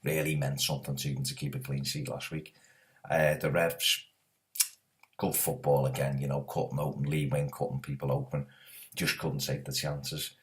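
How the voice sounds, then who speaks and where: A man hosts a casual soccer preview while hoarse from a cold. His voice sits extremely low and flat. He talks in a chatty, rambling way with frequent fillers. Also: moderate pace. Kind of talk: casual solo sports preview.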